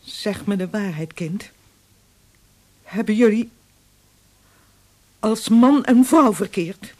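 A woman's voice: mid-range voice, slow, a little mellow. An actress playing a strict, pious middle-aged mother, solemn and pleading, in a radio drama. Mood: anguished, pleading. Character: strict, pious, grieving mother of a grown son.

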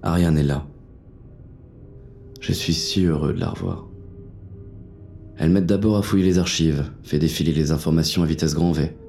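A very deep, dark-toned male actor's police inspector narrates noir fiction. He is weary and menacing, telling it tersely and deliberately, with faint music underneath. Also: steady tone. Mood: stunned. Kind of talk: scripted first-person noir audio fiction narration.

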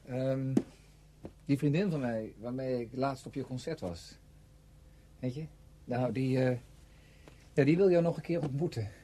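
An actor playing a hesitant, probing old friend in a radio drama, speaking in a halting, questioning way. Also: male voice, medium pitch, mellow, lightly acted.

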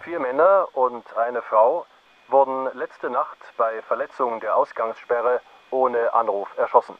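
Muffled wartime radio newsreader. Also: male voice, medium pitch, measured pace. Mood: official. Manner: formal, official. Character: official wartime male radio newsreader in occupied Prague. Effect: old radio filter. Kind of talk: 1940s radio news bulletin in a radio play. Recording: dull, hissy old radio sound.

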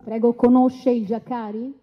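A woman's voice: fairly high pitch, level tone, measured pace. An actress playing an assertive female lawyer who cross-examines formally and pointedly in a dramatised trial. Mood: pressing. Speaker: actor in a radio courtroom play. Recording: dull, long reverberant hall sound.

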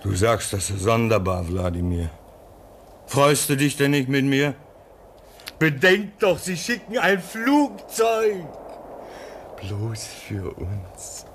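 An actor playing an excitable, hopeful crewman at a polar station in a radio play, exuberant and commanding. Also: medium pitch, slow, long pauses, a little hiss or hum. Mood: excited, puzzled.

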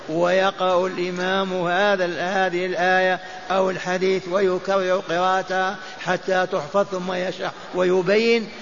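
A man's voice: high-pitched, fairly bright tone. Older man teaching in a mosque, chanting. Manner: chants and repeats texts for memorizing. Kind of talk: mosque lesson on hadith. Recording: dull lo-fi lecture recording.

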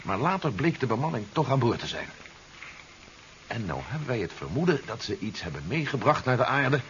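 An actor playing an earnest investigator who explains things formally and urgently in a 1970s Dutch radio drama. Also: male voice, medium pitch, big pitch swings, a little fast, fairly bright tone. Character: earnest male investigator warning of an alien invasion. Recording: dull, noisy 1970s radio recording.

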